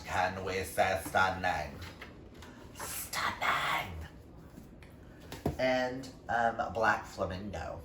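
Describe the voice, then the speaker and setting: Slow-talking, low-voiced man vlogging in echoey room. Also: fairly bright tone. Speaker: book YouTuber. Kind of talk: casual chatty vlog talk to camera. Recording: roomy echo, distant mic, faint noise.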